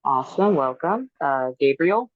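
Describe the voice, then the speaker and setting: A woman's voice: deep voice, animated, unhurried, no echo, phone-quality sound. A woman hosting a dating show, calling on guests one by one with short cheerful acknowledgements.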